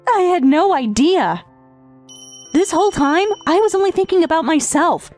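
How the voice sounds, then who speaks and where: A woman's voice: extremely high pitch, expressive, normal speed, darkish tone. A woman playing a withdrawn, grieving teenage step-sister, narrating in an earnest, hesitant, confessional way in a manga dub. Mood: remorseful. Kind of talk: dramatic first-person narration in manga dub. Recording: background music under the voice.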